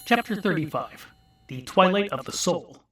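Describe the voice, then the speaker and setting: Male audiobook narrator, wry, mock-epic storytelling, higher-than-average pitch, clear music bed. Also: lively intonation, moderate pace, a bit bright.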